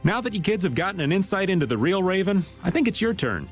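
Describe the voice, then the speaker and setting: Swooping, jokey older rogue. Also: male voice, high-pitched, sing-song, fast talker, soft background music. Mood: teasing. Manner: slangy, theatrical, jokey. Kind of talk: video game cutscene acting.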